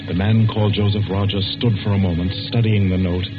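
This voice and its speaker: Male 1940s thriller narrator, measured dramatic delivery, lowish pitch, dull old radio sound, loud music behind. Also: steady tone. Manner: dramatic, measured, with suspenseful pauses. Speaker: announcer and narrator. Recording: dull vintage radio broadcast recording.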